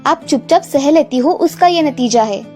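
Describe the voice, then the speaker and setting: A woman's voice: sits high, expressive, normal speed, a little mellow, soft background music. A woman playing an assertive, outspoken young news reporter and daughter-in-law in a cartoon story. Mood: assured. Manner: firm, outspoken.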